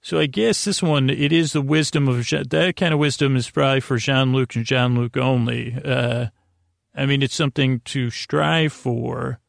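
Meandering, creaky-voiced man lulling listeners. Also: medium pitch, steady tone, no echo, studio quality. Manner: meandering, halting, often trailing off and repeating himself; lulling, soothing. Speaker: sleep podcast host. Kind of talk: rambling bedtime monologue.

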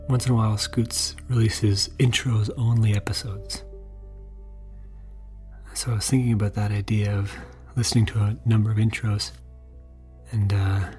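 Soft-spoken man over music. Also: lowish voice, breathy edge. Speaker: podcast editor introducing a special episode. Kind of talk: soft-spoken bedtime podcast introduction.

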